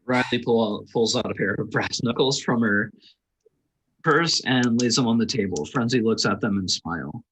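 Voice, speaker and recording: A man's voice: medium pitch, moderate pace, a bit bright, slight background noise, low-quality recording. A man reading scripted stage directions aloud as narrator at a table read.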